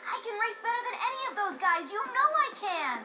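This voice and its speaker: A woman's voice: extremely high pitch, sing-song, a little fast. A woman playing a headstrong young woman in a video game, pleading and defiant toward her father. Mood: pleading, eager. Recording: dull audio under loud music.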